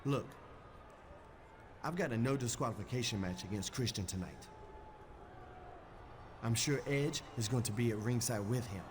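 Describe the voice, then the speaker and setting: An earnest, exasperated wrestler, acted by a man in a video game cutscene. He is mid-pitched, blunt and urgent, with faint background noise. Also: moderate pace, long pauses, natural voice. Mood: urgent, pleading. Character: earnest, exasperated male wrestler pleading with his partner. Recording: faintly noisy game audio.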